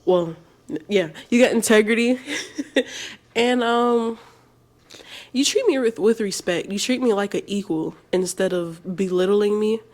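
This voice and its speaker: A woman in her twenties talks casually with her partner in slangy, animated speech. She tells stories with plenty of laughter. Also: medium pitch, a little slow, long pauses. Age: 20s. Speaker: co-host. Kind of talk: casual couple chat.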